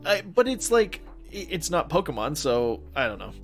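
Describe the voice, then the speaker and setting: A young man with a fairly high voice chats eagerly as a podcast co-host. He piles up "like" as he gushes about what he enjoyed. Faint music hums in the background. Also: sing-song, moderate pace. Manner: enthusiastic, peppered with "like". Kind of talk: casual chat.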